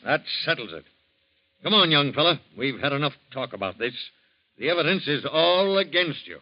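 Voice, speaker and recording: An actor playing a blunt, hard-nosed police captain who talks in curt commands in a 1940s radio drama. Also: male voice, on the high side, big pitch swings, normal speed. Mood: stern. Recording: muffled old radio recording.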